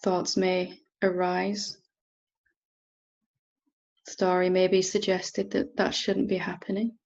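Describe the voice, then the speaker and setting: Female meditation teacher: soft instruction, level intonation, creaky texture, lingering pauses, somewhat muffled sound. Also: lowish voice, monotone. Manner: unhurried, gentle, with long pauses. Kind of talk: guided meditation, softly instructing.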